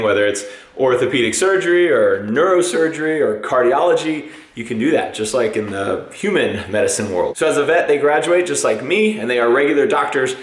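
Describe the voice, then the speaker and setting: A young adult man with a slightly hoarse voice, chatting casually as a veterinarian vlogger in an easygoing, rambling way. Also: fairly low pitch, reverberant. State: a little hoarse. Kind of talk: casual vlog chat.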